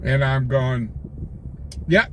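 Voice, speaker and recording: Slow-talking American man over loud car noise. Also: mid-range voice. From United States. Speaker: livestream host, scanner-chasing reporter. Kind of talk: casual chat with livestream viewers. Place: inside a car, live on patrol. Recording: raw livestream, loud vehicle noise.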